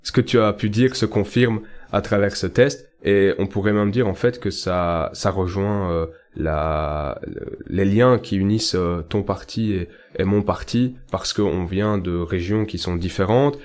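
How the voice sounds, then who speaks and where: A young man from Belgium with a fairly low voice hosts a political talk podcast. He argues with conviction and lifts his voice to stress each key point, in a relaxed conversational way. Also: unhurried. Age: young adult. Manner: earnest, emphatic, rises in pitch on key points. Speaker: podcast host.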